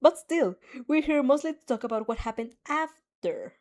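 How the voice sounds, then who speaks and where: A woman's voice: sits high, expressive, moderate pace, a little mellow, voice pushed up. A woman reading the lines of a bold, scheming teenage girl in a fan audio story, in a sly, teasing way.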